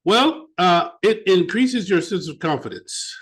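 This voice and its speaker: An American man, a guest author in an interview, telling stories in an animated way and often repeating words as he searches for them. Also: sits high, measured pace, breathy edge. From United States. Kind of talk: interview conversation.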